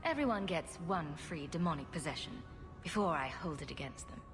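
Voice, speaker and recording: A woman's voice: low-pitched, animated, a little fast. A woman playing a sarcastic young rogue heroine in a video game, with a wry, dry delivery. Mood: wry, teasing. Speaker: player character. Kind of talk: video game cutscene acting. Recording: music behind the voice.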